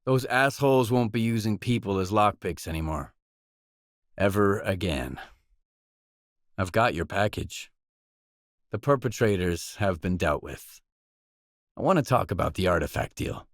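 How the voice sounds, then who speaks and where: An actor playing a blunt, self-reliant mercenary stalker in a video game, speaking in a terse, matter-of-fact way. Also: male voice, sits low, lively intonation, measured pace, long pauses, no room sound, clean studio sound. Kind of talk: video game dialogue lines.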